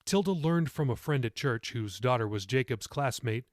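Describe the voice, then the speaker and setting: A man's voice: mid-range voice, lively intonation, moderate pace, no room sound, studio quality. A man reading his own novel as scripted audiobook narration.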